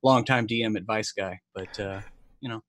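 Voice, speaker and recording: A man's voice: on the low side, normal speed, a bit bright. An American man in his forties chatting casually on a livestream in an easygoing, self-deprecating way. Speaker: player and web show co-host. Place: room indoors, on a video call.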